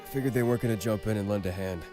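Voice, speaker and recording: A man's voice: on the low side, rapid-fire, over music, voice pushed down, natural voice. A man voicing a friendly, easygoing young male newcomer in a visual novel. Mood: easygoing, curious.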